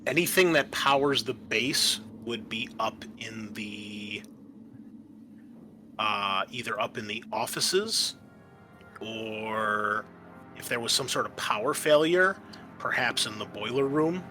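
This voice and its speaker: A man working as game master, narrating a tabletop horror game in a halting, explanatory way. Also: fairly low pitch, pushed and bright, soft background music.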